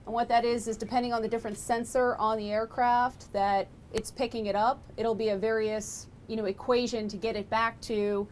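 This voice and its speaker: A woman's voice: level tone, moderate pace, few pauses. A woman explaining technical material conversationally, like an engineer briefing visitors and taking questions. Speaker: lab engineer presenting flight recorder analysis. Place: laboratory tour, indoors. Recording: noisy room with low rumble.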